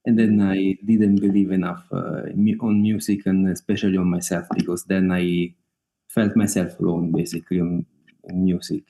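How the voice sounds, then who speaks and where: A man from Italy with a clear Italian accent speaks on a video call in a low, mellow voice. His talk is halting, thick with fillers, and broken by small laughs. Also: little pitch movement, low-quality recording. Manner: hesitant, many fillers, laughs often. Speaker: guest singer-songwriter. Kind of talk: casual interview over video call.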